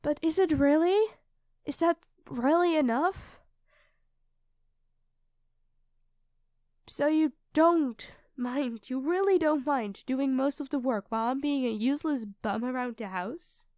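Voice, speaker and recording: A woman's voice: sits high, measured pace, no room sound, clean studio sound. A woman playing a sweet, self-doubting young girlfriend in an intimate ASMR roleplay, speaking hesitantly and earnestly to her partner. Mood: touched, self-doubting. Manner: hesitant, soft-spoken, earnest, addressing a partner directly.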